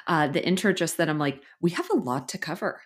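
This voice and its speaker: A woman's voice: low-pitched, animated, a little fast, husky. A young adult woman hosting a podcast interview, reading an introduction and reacting with upbeat, effusive enthusiasm. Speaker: podcast host.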